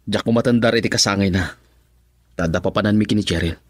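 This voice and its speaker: A man's voice: lowish voice, quick pace, dry recording, close to an everyday voice. Man acting a stubborn young son, close dry sound. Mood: defiant. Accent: Filipino (Ilocano). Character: stubborn, exasperated young adult son, loyal in love. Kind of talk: Ilocano radio drama acting.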